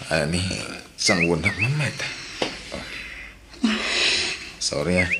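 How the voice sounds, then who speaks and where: A man with an Ilocano accent playing a beleaguered husband in a radio drama, placating, sighing and exasperated as he defends himself. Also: sits low. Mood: pleading. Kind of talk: Filipino radio drama acting. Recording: loud background noise.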